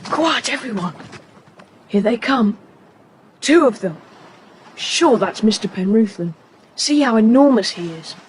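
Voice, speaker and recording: A woman's voice: on the high side, expressive, unhurried, pauses often, a little hiss or hum. A woman playing a resolute, take-charge schoolboy in a children's audio adventure play. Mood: tense, watchful. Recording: long hall-like reverb.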